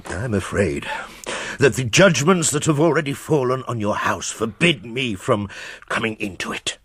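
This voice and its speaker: A man's voice: medium pitch, animated, normal speed, a bit bright, husky, no room sound. An actor playing a proud, courteous visiting nobleman in a radio drama, speaking in a formal, courtly manner. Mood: stern, reproachful.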